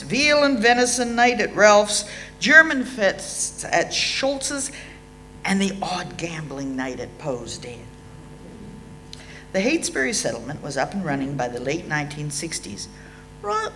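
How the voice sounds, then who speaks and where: Older Australian woman with lively, varied pitch. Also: slight background noise. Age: 60s. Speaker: eulogy reader at a memorial. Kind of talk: reading a prepared eulogy aloud. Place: indoor hall.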